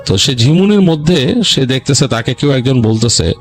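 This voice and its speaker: A man's voice: medium pitch, animated, moderate pace. Bangladeshi man telling stories over music. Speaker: horror story show host. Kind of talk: unscripted ghost story narration. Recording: music bed under voice. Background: background music.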